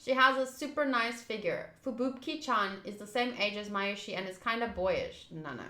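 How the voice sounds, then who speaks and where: A woman's voice: medium pitch, animated, moderate pace, pushed and bright, reverberant. A woman streaming a visual novel, reading the text aloud and breaking into chatty reactions as she goes. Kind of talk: playthrough commentary, reading on-screen text aloud.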